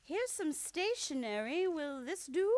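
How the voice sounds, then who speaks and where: An actress as a sweet, prim old spinster aunt in an old-time radio play. Her high voice flutters and swoops with great animation, always very proper. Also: very animated, measured pace. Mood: sweetly delighted.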